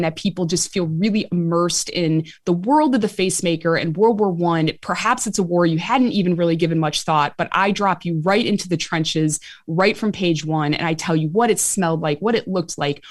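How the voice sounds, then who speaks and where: Woman, Chicago accent, animated author, quick laughs, dry sound. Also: fairly low pitch, dry recording. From Chicago, USA. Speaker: author and medical historian. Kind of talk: interview answers.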